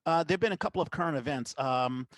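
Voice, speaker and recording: A man hosting a podcast, chatting casually about the news in a conversational, somewhat halting way. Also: on the high side, dry recording. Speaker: podcast host.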